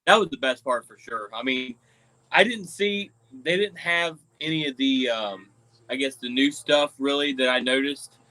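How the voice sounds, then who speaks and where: Slightly hoarse man, easygoing co-host. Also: medium pitch, normal speed, bright, forceful tone, poor audio. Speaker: podcast co-host. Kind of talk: casual sports chat.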